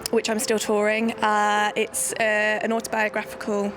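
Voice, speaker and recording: A woman's voice: mid-range voice, completely flat delivery, moderate pace, over music. A woman from Nottingham, a solo theatre maker, talking in a documentary interview in a chatty, self-deprecating way with many restarts. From Nottingham, England.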